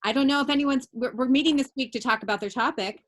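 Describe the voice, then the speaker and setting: A woman's voice: high-pitched, fast, a little hiss or hum. Chatty woman teacher hosting a video class. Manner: warm, chatty, many fillers. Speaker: college teacher leading the class. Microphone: video call.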